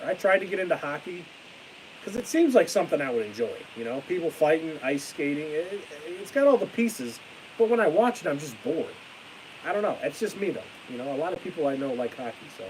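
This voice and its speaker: A young man chatting on a live stream with a stuffy nose and a fading voice, ranting excitably and then trailing off into tired mumbles. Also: a little high, fast, rough recording. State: stuffy-nosed, losing his voice. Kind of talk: casual live stream chat. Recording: hissy home stream mic.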